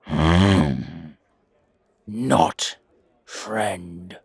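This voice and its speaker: A man voicing a gruff, ill-tempered werewolf pack alpha who talks in terse, clipped growls for an audio roleplay. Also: low voice, extremely slow, dry recording, pitched below the performer's own voice, full character voice. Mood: contemptuous.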